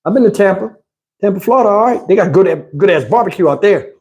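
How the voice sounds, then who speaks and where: A man's voice: high voice, expressive, fast talker, a little mellow. A man hosting a livestream, chatting with viewers in a slangy, profane, rambling way. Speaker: livestream host. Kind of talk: casual livestream chat with viewers.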